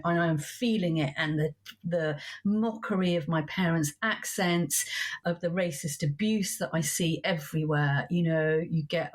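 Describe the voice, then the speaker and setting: A woman, a book author recounting her childhood in an interview, earnest and personal, with frequent "you know" asides. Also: lowish voice. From England. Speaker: author guest. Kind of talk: reflective interview storytelling.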